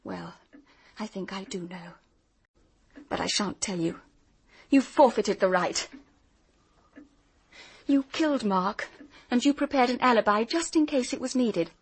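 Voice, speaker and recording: An actress playing a determined, earnest young private detective in a radio drama, direct and accusing. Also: female voice, mid-range voice, animated, unhurried, pauses often, slightly rough. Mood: firm, accusing.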